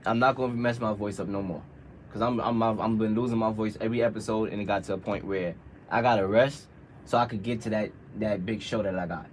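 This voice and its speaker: A man's voice: lowish voice, fairly even intonation, quick pace, slight background noise. A man hosting a casual sports debate, making his points emphatically with repeated rhetorical questions. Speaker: radio show host.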